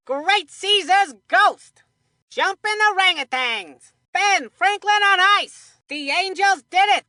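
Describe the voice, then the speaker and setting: An actor voicing a theatrical cartoon baseball play-by-play commentator in a kids' video game, with folksy delivery and drawn-out emphasis. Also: high-pitched, pushed and bright, dry recording, clean studio sound, heavily acted. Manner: exclamatory, folksy old-fashioned exclamations, drawn-out emphasis. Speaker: sports commentator.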